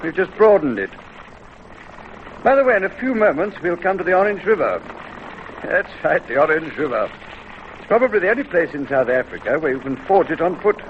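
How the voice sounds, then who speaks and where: Animated, higher-pitched male guide. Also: fairly quick, long pauses. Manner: matter-of-fact, informative. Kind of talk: explanatory travel commentary. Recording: dull, noisy vintage radio recording.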